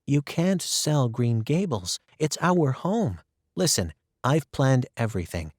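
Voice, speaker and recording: A man voicing a cheerful, determined teenage girl in a storybook reading, lifting his voice high and eager. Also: very animated, slow, no echo, clean studio sound. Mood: earnest. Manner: eager, bright, high-pitched girlish voice.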